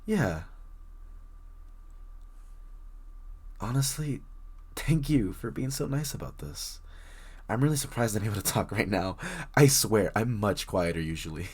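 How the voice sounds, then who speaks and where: A man's voice: lowish voice, lively intonation, normal speed, husky. A man playing a very shy, bookish young stranger in an intimate roleplay audio drama, stammering, rambling and apologising nervously as he addresses the listener. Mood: shy, chatty.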